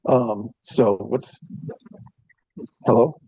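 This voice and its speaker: A man hosts a tech meetup in a meeting room, speaking into a handheld mic. His delivery is relaxed and chatty, dotted with ums as he runs through announcements. His voice sits at an average pitch, and the sound is dry, with little room echo. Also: extremely slow, breathy edge. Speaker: meetup host. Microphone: handheld microphone.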